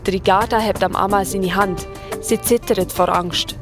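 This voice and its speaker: A woman narrates a children's radio story in colloquial Swiss German-accented speech. Her pitch sits in the middle, and faint music plays behind her. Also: fairly even intonation, normal speed. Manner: colloquial, present-tense storytelling.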